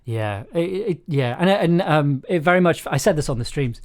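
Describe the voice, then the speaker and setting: A man hosting a casual script discussion, thinking aloud in a halting way and repeating words as he explains. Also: on the high side, animated. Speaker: audio drama writer and director hosting a livestream.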